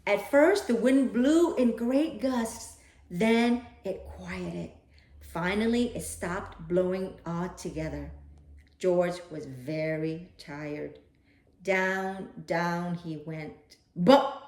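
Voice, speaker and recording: A woman's voice: lowish voice, slow pace, a little mellow, reverberant. A woman hosting a children's read-aloud, speaking in simple, direct phrases as if to young listeners. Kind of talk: expressive storybook read-aloud and talk addressed to children.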